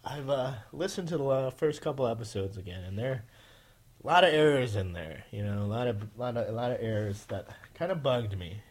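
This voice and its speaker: A man's voice: medium pitch, animated, fairly quick. A man hosting a casual podcast, with a nasal voice, rambling with many fillers and restarts. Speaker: podcast host.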